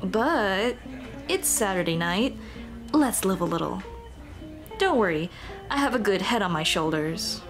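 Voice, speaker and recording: A woman's voice: medium pitch, lively intonation, unhurried, pauses often. A woman playing a shy, flustered monster girl in an ASMR roleplay, with a nervous, stammering, rambling way of talking. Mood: warm, playful. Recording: music behind the voice.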